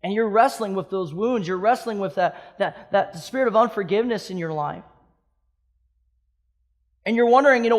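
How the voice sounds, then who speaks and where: A pastor in his thirties from Hawaii, preaching a sermon with impassioned swings between loud emphatic peaks and soft hushed stretches. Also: very high-pitched. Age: 30s.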